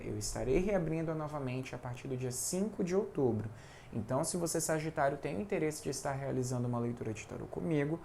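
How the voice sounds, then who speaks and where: Brazilian male tarot reader with a creaky, gravelly voice, chatty and informal, faint noise underneath. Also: mid-range voice, darkish tone. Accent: Brazilian Portuguese. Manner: chatty, with frequent tag questions. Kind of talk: casual tarot reading vlog.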